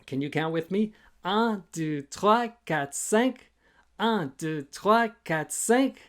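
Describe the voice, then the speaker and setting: A man who is a children's author reading a rhyming story aloud with an expressive, chanting, sing-song delivery. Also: sits high, takes it slowly. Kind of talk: expressive musical storytime narration in rhyme.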